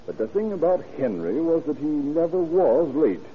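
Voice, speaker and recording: Earnest, animated engineer, old radio. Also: male voice, on the high side, moderate pace. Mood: earnest concern. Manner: earnest, explanatory. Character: concerned male radio engineer reporting a missing employee. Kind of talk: 1930s radio acting. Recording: muffled, noisy vintage radio recording.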